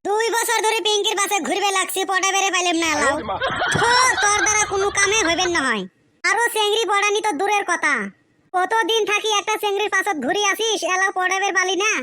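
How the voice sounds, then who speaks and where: A man voicing a brash, lovesick village college boy in a comic cartoon, with a Lower Assam rural accent and a pitched-up effect. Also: fast talker, bright, forceful tone. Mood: boastful, mocking. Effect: pitched-up chipmunk-like voice. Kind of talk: comic meme cartoon dialogue.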